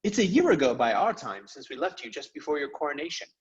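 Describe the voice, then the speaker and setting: A frank, hearty storybook schoolboy, acted out by a man speaks briskly and with emphasis. His phrasing is old-fashioned and his pitch moves freely, on a slightly muffled recording. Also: sing-song. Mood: frank, eager.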